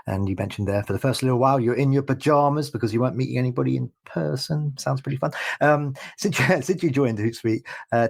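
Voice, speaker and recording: A man's voice: mid-range voice, darkish tone, husky. A man hosting a podcast, asking questions in a jovial, chatty way that wanders a little. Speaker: podcast host. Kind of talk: casual interview chat.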